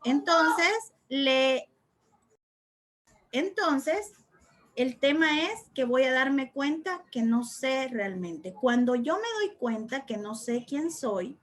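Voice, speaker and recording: A woman's voice: high voice, expressive, takes it slowly. A woman teaching a spiritual study class, speaking reflectively and repeating phrases for emphasis. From El Salvador.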